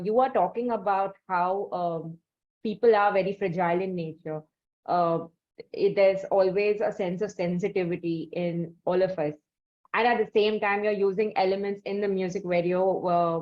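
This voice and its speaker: A woman hosting an interview, talking warmly at length with many fillers and restarts. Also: mid-range voice, highs cut off. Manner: effusive, rambling, many fillers. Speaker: podcast host. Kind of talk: conversational interview.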